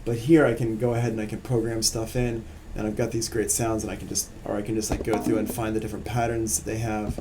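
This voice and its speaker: A man teaching music production in a casual, relaxed live-streamed software tutorial, explaining as he works on screen. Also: lowish voice, fast, hardly any pauses, noisy background.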